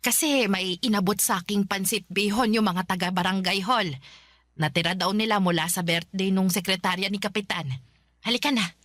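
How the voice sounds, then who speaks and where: A woman playing a doting, easily delighted elderly grandmother in a radio drama, exclamatory and halting, with a Filipino accent. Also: medium pitch, steady tone, a bit bright, no echo, clean studio sound, natural voice. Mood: tender, caring. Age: older. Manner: exclamatory, halting, breaking into cackles.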